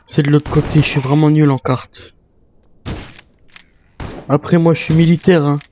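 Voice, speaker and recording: A man role-playing a military survivor in an online zombie game, speaking in halting, improvised phrases. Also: a little high, flat delivery, a little mellow, a little hiss or hum. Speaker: video game role-player. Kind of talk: improvised in-character game chat.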